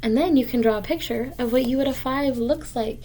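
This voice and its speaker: Female teacher, higher-pitched, reading a storybook to small kids with simple, encouraging asides. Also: a little fast, mellow, slight background noise. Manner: simple, encouraging explanations addressed directly to children. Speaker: teacher reading a picture book aloud to children. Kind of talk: read-aloud lesson for young children, with explanatory asides.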